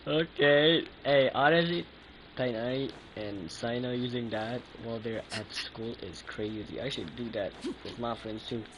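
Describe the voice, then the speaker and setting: A man's voice: medium pitch, measured pace, noisy background. A man live-streaming a game, chatting casually in slangy, exclamatory bursts.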